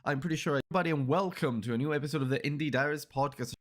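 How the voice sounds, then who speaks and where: A man's voice: on the high side, very fast. Sleepy, nasal-voiced male host with a cold, rambling intro full of fillers. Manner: rambling, repeats himself, many fillers. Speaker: podcast host. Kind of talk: podcast intro and interview.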